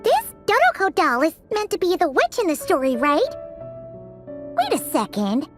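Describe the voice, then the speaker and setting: Little girlish fairy sidekick, video game, piping high voice, excitable, very animated, music behind. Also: normal speed, full character voice. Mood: excited, curious. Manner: chirpy, exclamatory. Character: tiny childlike female sprite companion, curious, excitable.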